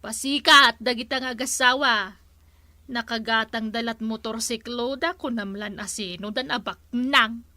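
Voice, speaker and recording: A woman's voice: fairly high pitch, a little slow, sharp tone, no room sound. A woman playing an envious, gossipy neighbour who grumbles scornfully in a radio comedy drama.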